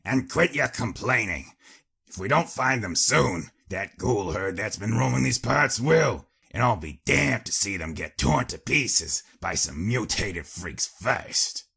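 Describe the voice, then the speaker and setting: A rugged pony gang boss, a mare played by a man, snaps orders in a very raspy, forceful voice. She is agitated and rough, made for a wasteland audio story. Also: on the low side, animated, measured pace, voice pushed up, exaggerated voice. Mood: angry, commanding. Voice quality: rough, scratchy, rasping. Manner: barking orders. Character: rugged, agitated female pony raider leader, commanding and foul-mouthed.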